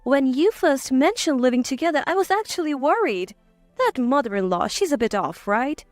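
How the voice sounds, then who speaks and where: Woman acting as supportive older mother, motherly, earnest, lively intonation, story drama, dry sound. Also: high-pitched, moderate pace, no echo, soft background music. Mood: apologetic.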